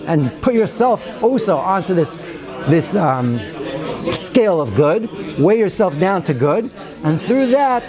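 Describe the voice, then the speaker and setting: A man teaching a weekly Torah class in a synagogue study hall, chatty and digressive, with wry asides and many Hebrew and Yiddish terms. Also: fairly high pitch. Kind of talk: informal Torah lecture.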